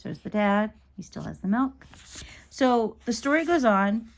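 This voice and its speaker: A fairly high-voiced woman reads a children's book aloud. Her pitch rises and falls in a very animated way. She tells it as a storyteller would, shaping each bit of dialogue with its own intonation. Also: a little slow. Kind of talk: expressive read-aloud storytelling.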